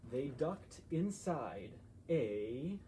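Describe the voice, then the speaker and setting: Guy reading a kids book aloud, super animated, takes it slow, bit of noise in the back. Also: medium pitch. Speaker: library story time reader. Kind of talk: animated children's story narration.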